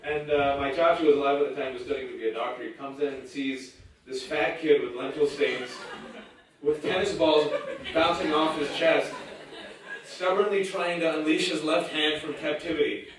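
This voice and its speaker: A man's voice: fairly high pitch, fairly even intonation, normal speed. Joking man speaking in an echoey hall. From California, United States. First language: Urdu. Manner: joking, self-deprecating storyteller. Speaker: guest speaker at a campus event. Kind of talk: humorous personal speech. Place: large lecture hall. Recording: echoey lecture hall, distant mic.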